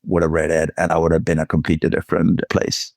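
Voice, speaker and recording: A man, a guest author on a podcast, talking casually in halting stops and restarts. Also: rumbling low, completely flat delivery, vocal fry, darkish tone, no room sound, studio quality. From Denmark. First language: Danish. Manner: halting, repeats words, swears casually.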